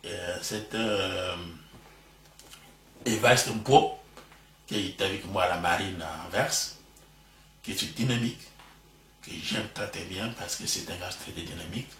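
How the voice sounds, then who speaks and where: A man's voice: deep voice, measured pace, long pauses, sharp tone, a little hiss or hum. An older man with a Congolese French accent, talking proudly and expansively as a guest in an interview at home, laughing easily. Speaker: interview guest, retired professor. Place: living room at home. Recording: roomy home recording from a distance.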